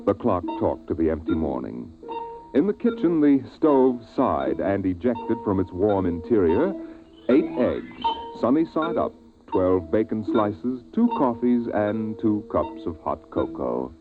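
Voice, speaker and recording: An actor narrating a 1950s science-fiction radio drama as a solemn storyteller, with measured phrasing and weighty pauses. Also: male voice, lowish voice, very animated. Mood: solemn, dramatic. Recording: tinny vintage radio recording, music behind.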